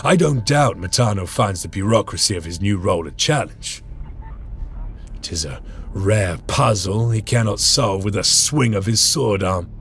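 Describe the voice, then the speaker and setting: A man's voice: lowish voice, expressive, a little slow, fairly bright tone. A man playing a stoic, weary veteran space marine sergeant, solemn and formal in dramatic game narration. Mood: brooding. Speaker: character narrator. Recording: dramatic music behind the voice.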